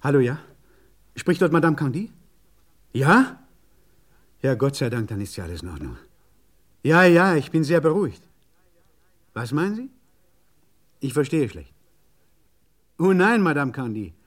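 An actor playing an urgent, insistent French car mechanic on the phone in a 1950s German radio play. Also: male voice, fairly high pitch, sing-song, a little slow, long pauses. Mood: relieved, excited. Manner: urgent, insistent, muttering to himself in asides.

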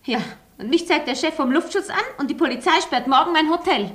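An actress in a radio drama playing a flustered, commanding hotel proprietor who exclaims and gives orders. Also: female voice, sits high, a little fast, a bit bright. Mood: agitated. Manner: exclaiming, bossy. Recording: echoey room.

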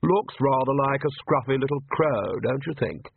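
A man voicing a suave, urbane young gentleman with a smooth, unhurried drawl. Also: medium pitch, expressive. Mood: sly, amused. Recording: lo-fi, narrow phone-like sound.

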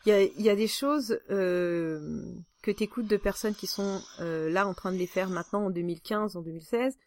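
Woman podcast host just chatting, normal mid pitch, really dry sound. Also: normal speed, darkish tone, dry recording. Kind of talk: casual chat.

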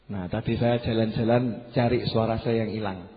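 A slightly hoarse man giving a religious lecture to a congregation, conversational, repeating key phrases and putting questions to his listeners. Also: lowish voice, fairly even intonation, normal speed. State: slightly hoarse after losing voice. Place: large hall at a religious gathering. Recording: muffled PA with long hall reverb.

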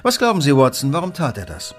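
An actor playing a cool, analytical Victorian gentleman detective in a radio play, precise and probing as he questions. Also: a little high, wildly swooping pitch, fast, soft background music.

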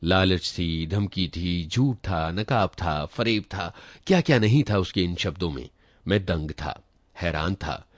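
A man narrating a literary short story in an expressive reading style. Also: deep voice, measured pace, dry recording. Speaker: story reader.

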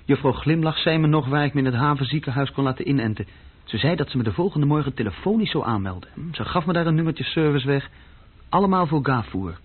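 A man's voice: a little high, lively intonation, quick pace, husky. An actor playing a restless young man telling of his adventures in a conversational radio-drama style. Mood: earnest, confiding. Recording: dull, lo-fi old radio recording.

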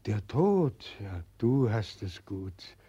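Brief: a weeping old god nobody believes in, slow, husky and gravelly, dull old radio recording. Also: male voice, on the low side, big pitch swings, warm tone, exaggerated voice. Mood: bitter. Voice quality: tearful, lamenting. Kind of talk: 1940s radio drama acting.

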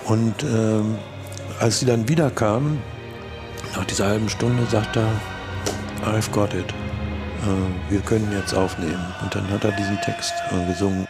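A man with a fairly low voice recounts an anecdote in an interview. He halts and searches for words as he goes, and music plays clearly underneath. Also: steady tone, unhurried. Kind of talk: unscripted anecdotal recollection.

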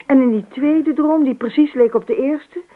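An actress in a Dutch radio play, playing a dreamy, chatty wife who rambles on insistently and repeats herself. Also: female voice, sits high, moderate pace, natural voice. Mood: eager, insistent. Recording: tinny band-limited radio recording with hiss.

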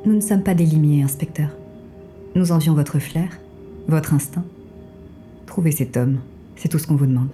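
Woman playing secret resistance agent lady, low really dark soft-edged voice, formal n cool, noir audio drama, faint music. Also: moderate pace, long pauses, close to an everyday voice. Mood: calm, commanding. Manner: formal, polite, composed, commanding. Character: mysterious female resistance agent guiding the inspector, coolly composed.

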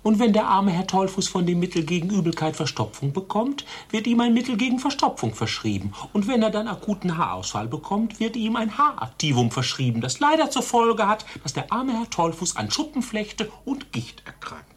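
A sarcastic patient in a radio satire. He piles up his points with a husky voice and widely swinging intonation. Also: high-pitched, very animated, brisk, few pauses, full character voice. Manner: sarcastic enumerating. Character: dryly sarcastic waiting-room patient.

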